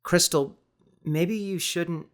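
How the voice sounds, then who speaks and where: A man playing an earnest, cautious eighteen-year-old with deliberate, questioning delivery in an audiobook. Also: sits high, pitched above the performer's own voice. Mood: puzzled, wary. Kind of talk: audiobook character dialogue.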